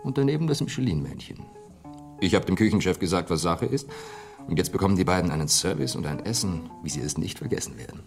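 An actor playing a chatty, gossipy hotel bartender in a radio play, with a confiding, expressive way of talking. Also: male voice, low voice, fast, creaky. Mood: excited, confiding. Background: soft plucked guitar music.

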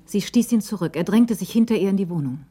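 A woman narrating a scripted German radio crime drama in a measured, literary delivery. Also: mid-range voice, animated, mellow.

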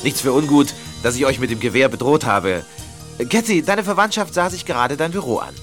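An actor playing a gruff husband in a German audio drama. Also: male voice, mid-range voice, lively intonation, fairly quick, music in the background, close to an everyday voice. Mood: relieved, explaining. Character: gruff armed husband, suspicious then apologetic.

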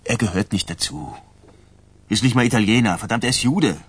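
An actor playing a sullen, hot-tempered mobster's son, husky and curt, in a radio crime drama. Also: male voice, fairly low pitch, brisk. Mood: angry, resentful. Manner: curt, aggressive.

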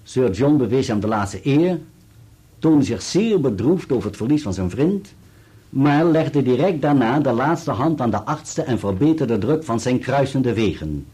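A measured legal adviser in a radio drama. His formal, articulate delivery moves in pitch. The voice is mellow with a slight huskiness. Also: big pitch swings, slightly rough. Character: measured legal adviser reading out a written accusation. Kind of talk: Dutch radio play acting, formal reading.

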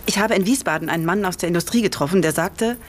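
An actress in a radio drama playing an emperor's devoted younger wife, encouraging and persuasive, with an assured, coaxing manner. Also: female voice, on the low side, fast, natural voice.

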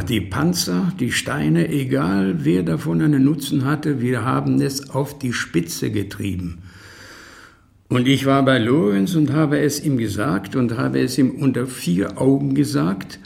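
An actor playing a weary, resentful old man giving testimony in a radio drama, deliberate and terse, with a creaky, gravelly voice. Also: medium pitch, darkish tone, heavily acted. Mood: haunted, remorseful.

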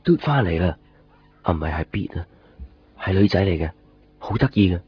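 A man's voice: low-pitched, animated, normal speed. A man playing a hesitant, brooding young boyfriend in a Cantonese radio drama, with a Hong Kong accent. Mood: musing. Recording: dull 1990s radio recording, music behind.

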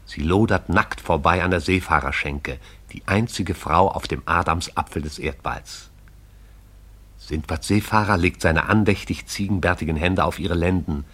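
An actor as the narrator of a poetic radio drama, telling it in a lyrical, wry storytelling manner. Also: male voice, sits low, a bit bright, breathy edge.

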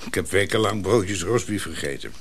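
A man's voice: low-pitched, animated, normal speed, slight background noise. A man playing a lonely, nostalgic older Dutchman in a radio drama, savouring and hesitant. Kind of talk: naturalistic radio play dialogue.

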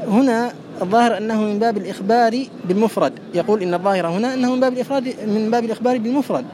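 A man's voice: high voice, very fast, noisy background. A man teaching a classical grammar lesson, methodically repeating and parsing each phrase for his students.

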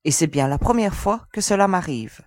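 Woman acting a cautious, low-voiced spacewoman. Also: animated, normal speed, no echo, close to an everyday voice. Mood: concerned. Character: cautious, protective young female spaceship crew member. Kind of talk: scripted French audio sci-fi drama.

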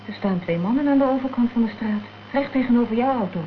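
A woman's voice: a little high, fast, close to an everyday voice. A woman acting a concerned, questioning companion in a Dutch radio crime drama. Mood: worried, urgent. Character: worried, inquisitive female companion in a crime thriller. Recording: dull, noisy old radio play recording.

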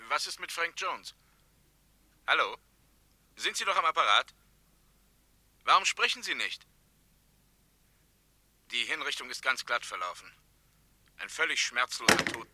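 An actor playing a stern, cold governor who speaks in a declamatory, accusing way in a 1960s German radio drama. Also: male voice, mid-range voice, very animated, a little slow, long pauses, pushed and bright, no room sound, heavily acted. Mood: cold, official. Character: stern, indignant male governor, cold and officious. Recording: 1960s mono radio play.

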